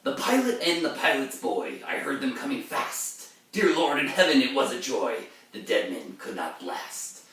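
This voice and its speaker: A man's voice: medium pitch, big pitch swings, moderate pace, few pauses, fairly bright tone, voice pushed up. A man voicing a dramatic pirate who declaims an old sea poem theatrically. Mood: urgent, dramatic. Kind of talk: dramatic verse recitation. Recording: very echoey room, far from mic.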